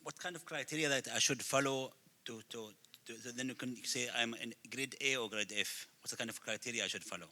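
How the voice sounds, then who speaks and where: Very sharp, bright-voiced man asking a question. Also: mid-range voice, a little fast. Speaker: jury member asking a question. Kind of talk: live question to presenters.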